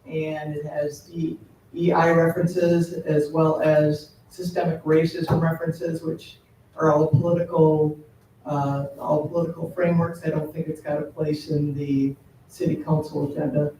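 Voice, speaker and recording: A plain-spoken man makes a hesitant public comment, with many uhs, in a flat, mellow voice. He sounds distant in a very echoey council chamber with faint noise. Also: fairly high pitch, a little slow.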